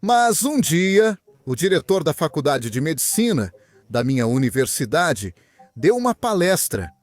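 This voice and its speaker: A young man from Venezuela, a guest in an interview, telling his story in an earnest, animated way. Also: on the high side, unhurried. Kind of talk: interview answers, personal story.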